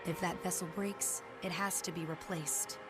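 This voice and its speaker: A solemn young woman played by an actress in a dramatic video game scene. She speaks with measured, earnest gravity, carrying remorse and duty. Loud music plays under her voice. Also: fairly low pitch, fairly even intonation, lightly acted.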